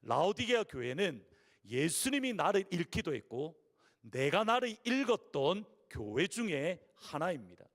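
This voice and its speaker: A man with a Gyeongsang (Busan) accent preaching a Sunday sermon as pastor. His voice is hoarse, and he tells stories earnestly and asks the congregation rhetorical questions. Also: fairly high pitch, very animated, unhurried, a bit bright. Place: large church sanctuary. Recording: long reverb of a church hall.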